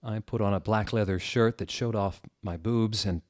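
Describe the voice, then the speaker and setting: A man with a fairly low voice reads aloud with theatrical flair. He is wry and slips into casual asides, like the host of a comedic reading. The sound is dry, with very little room to it. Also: fairly even intonation, moderate pace, darkish tone, no echo.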